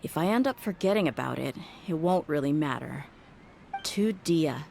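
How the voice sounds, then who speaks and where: A blunt, deep-voiced mercenary. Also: female voice, animated, moderate pace, gravelly, darkish tone, a little hiss or hum. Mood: wry. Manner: blunt, wry, sighing. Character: tough, blunt young female mercenary, wry and exasperated. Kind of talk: game voice acting.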